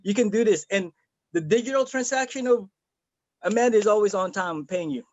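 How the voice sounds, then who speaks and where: A man's voice: high voice, a little fast, a little mellow, no room sound, lo-fi sound. A man from the Philippines giving an earnest, rambling testimonial over a video call, with many fillers and restarts. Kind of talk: casual video-call chat.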